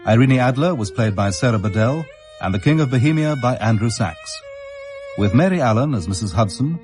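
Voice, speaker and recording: A man's voice: mid-range voice, animated, a little fast, a little mellow. A man making a scripted radio announcement. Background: violin music.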